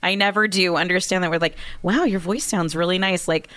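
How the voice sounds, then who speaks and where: A woman co-hosting a casual radio talk show, her voice raspy and hoarse from being sick, chatty and quick to laugh, on a studio microphone. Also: lowish voice, steady tone, a bit bright.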